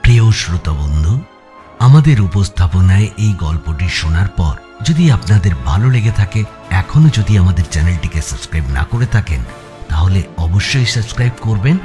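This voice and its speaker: A man's voice: low voice, very animated, moderate pace, warm tone. A man reading a scripted thriller as narrator, with a suspenseful, theatrical delivery. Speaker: story narrator. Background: background music.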